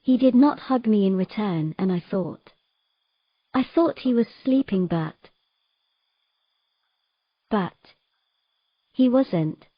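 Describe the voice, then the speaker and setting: A woman playing a sorrowful young mermaid in an audio drama, telling of her past in a gentle, measured, halting way. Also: mid-range voice, expressive, no echo, dull audio. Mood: grieving.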